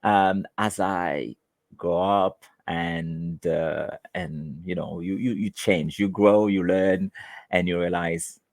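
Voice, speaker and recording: Haitian Creole-speaking man, teacher guest; very low, slow, halting, dry close sound. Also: steady tone, dry recording. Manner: halting, many restarts and fillers. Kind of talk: conversational interview answers.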